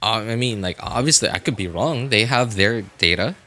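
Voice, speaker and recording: A man's voice: lowish voice, expressive, moderate pace, bright, forceful tone. A man livestreaming games, chatting casually with viewers in a rambling, hesitant way, often backtracking and hedging. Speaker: game livestreamer.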